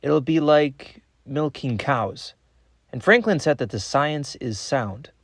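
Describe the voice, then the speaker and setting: Glib government boss, close dry sound. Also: male voice, medium pitch, slow, fairly bright tone, dry recording. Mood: glib, impatient. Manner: glib, brushing off objections. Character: dismissive, pragmatic government boss, adult man. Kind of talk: naturalistic meeting dialogue.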